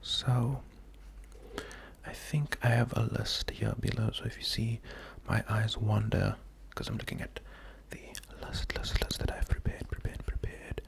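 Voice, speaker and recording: A man speaks in a hushed, breathy ASMR style with heavy vocal fry. His delivery is slow and calming, with a flat, even melody that stays gentle and unhurried throughout. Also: on the low side. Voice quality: breathy, creaky, half-whispered. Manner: gentle, unhurried, calming, often repeating words. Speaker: ASMR host. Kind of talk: slow soft-spoken ASMR poetry reading.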